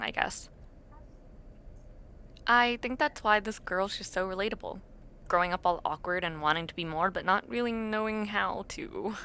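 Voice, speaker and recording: A woman's voice: on the low side, pushed and bright, clear, resonant tone. A woman playing an awkward, imaginative young university dormmate in a casual, intimate audio roleplay, chatting in a hesitant, self-deprecating way. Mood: earnest, self-deprecating.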